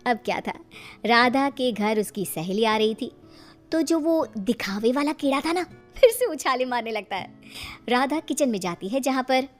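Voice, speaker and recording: A woman's voice: a little high, animated, normal speed, faint music behind. A woman narrating a scripted family story in a dramatic storytelling style.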